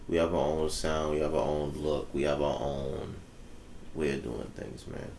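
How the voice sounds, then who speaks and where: A man's voice: rumbling low, level tone, a little slow, gravelly, a little mellow. A young man from the Bahamas, a musician talking easily as an interview guest, laid-back and full of ums. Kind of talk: casual interview chat. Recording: echoey room with faint background noise.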